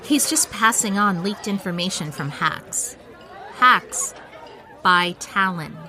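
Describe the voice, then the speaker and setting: An actress playing a headstrong, sharp-tongued young punk musician in an audio drama. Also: female voice, medium pitch, expressive, slow, crowd noise. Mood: challenging.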